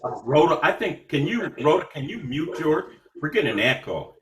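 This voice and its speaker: A man's voice: on the high side, sing-song, normal speed, a crowd in the background. A man hosting an online meeting in a casual, unscripted way, a little hesitant and informal. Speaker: meeting host. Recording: roomy, echoing online call.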